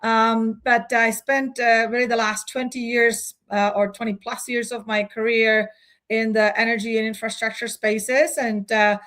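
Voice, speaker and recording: A woman's voice: fairly high pitch, little pitch movement, unhurried, fairly bright tone. A woman in her forties, from the Czech Republic, answering as a guest CEO in a business interview, thoughtful, with frequent fillers and restarts.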